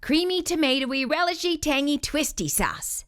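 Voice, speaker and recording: Bright, animated woman reading a children's story. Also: sits high, normal speed. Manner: animated, theatrical emphasis on exclamations. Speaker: read-aloud storyteller. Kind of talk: expressive children's picture book read-aloud.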